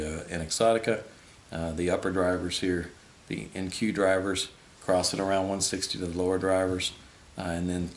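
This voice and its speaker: A man in his fifties giving an informal, matter-of-fact technical explanation in a small room, speaking off the cuff to a camera a few feet away. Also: deep voice. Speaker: host explaining audio equipment. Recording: steady background hiss.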